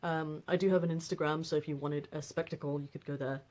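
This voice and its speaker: A woman in her twenties with a deep voice, talking casually on a personal vlog with lots of fillers and restarts. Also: steady tone, fast talker, darkish tone. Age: 20s. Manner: rambling, frequent fillers and restarts. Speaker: vlogger.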